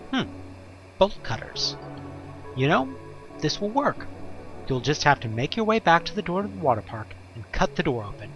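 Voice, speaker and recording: A man's voice: a little high, fairly bright tone, muffled recording. A man narrating a second-person horror story in a theatrical, suspenseful way, with wry, casual asides. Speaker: narrator of an audio drama. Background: music.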